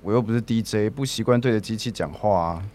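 A young man with a Taiwanese accent acting a shy, earnest, halting student in an audio drama. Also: on the low side, noisy background, close to an everyday voice. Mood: shy longing. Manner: halting, awkward. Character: shy, awkward, earnest lovesick male student.

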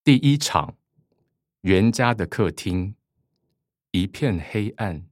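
Slow, low-pitched male drama narrator. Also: animated, dry recording, clean studio sound. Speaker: narrator reading stage directions. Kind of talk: scripted radio drama narration.

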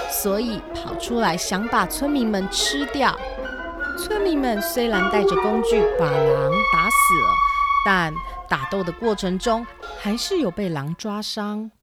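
A woman's voice: mid-range voice, expressive, a little slow. A woman narrating a scripted children's story as the storyteller. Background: classical music.

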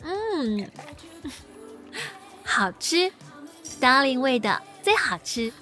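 A woman's voice: sits high, big pitch swings, drawn-out words, bright, forceful tone, soft background music, heavily acted. A woman voicing a teasing young female friend. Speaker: voice actor in an audio drama.

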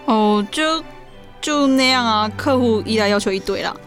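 A woman's voice: a little high, moderate pace, a bit bright, faint music behind, lightly acted. A woman playing an anxious, sincere young daughter in an audio drama, with a Taiwanese Mandarin accent and a pleading manner. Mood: casual. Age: young adult. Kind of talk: audio drama acting with reflective narration.

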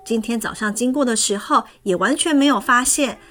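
A woman reading a storybook aloud and voicing a timid young schoolgirl who is afraid of water, with a wide-eyed, exclaiming manner. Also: a little fast. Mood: puzzled. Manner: wide-eyed, exclaiming, higher and brighter than the narration. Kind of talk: storybook character voice. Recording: faint music underneath.

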